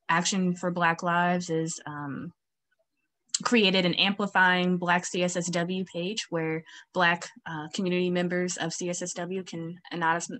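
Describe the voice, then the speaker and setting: A woman's voice: lowish voice, fairly bright tone. A young woman student activist speaking earnestly on a video call, with many fillers. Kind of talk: town hall video call. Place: home, virtual beach background.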